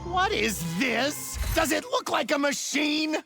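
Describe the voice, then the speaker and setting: An actor playing a vain, excitable, petty henchman in an animated video game, campy and theatrical. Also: extremely high pitch, slow, creaky, faint music behind, exaggerated voice. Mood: greedy, vain. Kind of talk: animated game cutscene acting.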